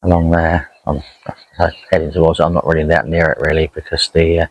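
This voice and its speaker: Male outdoor vlogger, low-pitched, unhurried, rambling casual delivery, dry open-air sound. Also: little pitch movement, slightly rough, no echo, dull audio. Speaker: angler vlogging a fishing trip. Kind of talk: casual outdoor vlog commentary. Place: outdoors at a lakeside.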